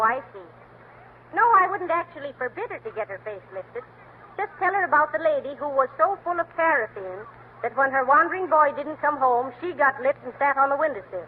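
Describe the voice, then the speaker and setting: Quick, dry-witted radio-comedy wife; animated delivery, phone-thin vintage sound. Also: female voice, high voice. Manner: dry wisecracking, quick comebacks. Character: sharp-tongued wry middle-aged wife. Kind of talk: 1930s radio comedy acting. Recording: tinny lo-fi old radio broadcast, faint hiss.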